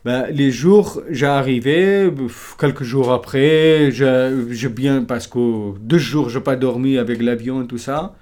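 A man in a documentary interview, speaking simple, broken French. He leans on short repeated phrases as he goes, at a middle pitch. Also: slow pace, hardly any pauses, darkish tone.

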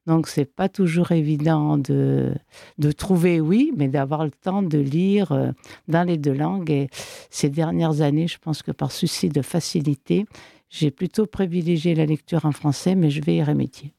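An older woman whose first language is Italian, a radio guest talking about her reading in a measured, reflective way with pauses. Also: low voice, vocal fry, dark tone, no room sound, studio quality. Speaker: radio guest, book club reader. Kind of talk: casual radio chat. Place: radio studio.

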